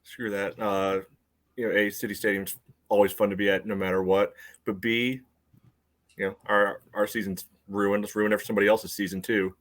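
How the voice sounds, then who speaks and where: Blunt sardonic low-voiced man. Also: flat delivery, normal speed, fairly bright tone. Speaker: podcast co-host. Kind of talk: casual sports podcast chat.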